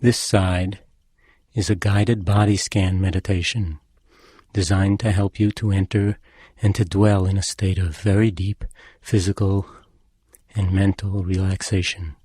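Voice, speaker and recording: A man leading a guided meditation as an instructor, speaking in a calm, measured and gently instructing manner. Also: low voice, husky, no echo, studio quality.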